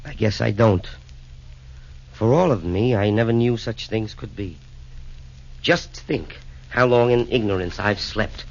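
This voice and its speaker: An actor playing a meek, repentant former tyrant emperor with hesitant, humble rhymes, in 1940s radio drama style. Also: male voice, lowish voice, a little slow, pauses often. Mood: sheepish, remorseful. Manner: hesitant, humble, rhyming theatrical delivery. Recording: dull 1940s radio broadcast recording.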